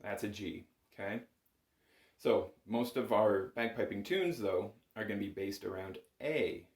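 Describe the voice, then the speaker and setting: A man's voice: on the low side, a little slow. A man giving a conversational music lesson as an instructor, patient and encouraging, explaining things step by step. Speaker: bagpipe instructor. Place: small home music room, indoors. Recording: roomy indoor echo.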